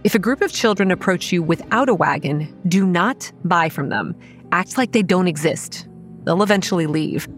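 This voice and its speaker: Faint music sits behind an actress as a young female campground manager in a horror audio drama. Her voice is low and measured, telling eerie things in a pragmatic, wry, matter-of-fact way. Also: close to an everyday voice. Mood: firm instruction. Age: young adult. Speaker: narrator and lead character. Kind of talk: first-person scripted horror narration and dialogue.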